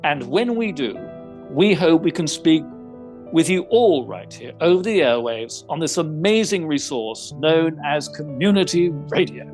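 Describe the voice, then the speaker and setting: Man acting eloquent, animated gentleman detective. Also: a little high, a little slow, faint music behind. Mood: courteous, composed. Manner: formal, precise, theatrical phrasing. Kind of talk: audio theater mystery acting.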